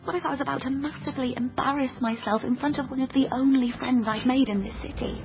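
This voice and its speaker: A woman's voice: high voice, fairly even intonation, fast talker, dull audio, music in the background. An actress playing a young woman who narrates her own adventure in a radio drama, confiding and dramatic. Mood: reflective, suspenseful. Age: young adult. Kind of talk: scripted first-person radio drama narration.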